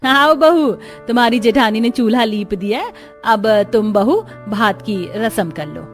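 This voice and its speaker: A bossy older mother-in-law , acted by a woman hands out brisk orders in a high voice. It is cartoon story acting over music. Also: animated. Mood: instructing.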